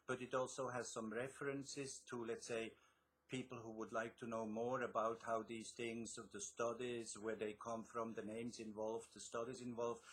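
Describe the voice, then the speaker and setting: A man's voice: medium pitch, fairly bright tone. A middle-aged man from Switzerland with a Swiss accent, a guest author explaining his methods in an interview, with frequent restarts. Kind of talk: interview answers.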